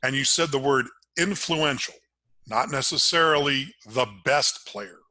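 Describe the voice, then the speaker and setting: Casting: older American male sports announcer as podcast guest. Penetrating, bright delivery in a dry close sound, emphatic phrasing. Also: medium pitch, unhurried, dry recording. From Maryland, United States. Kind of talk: conversational sports interview.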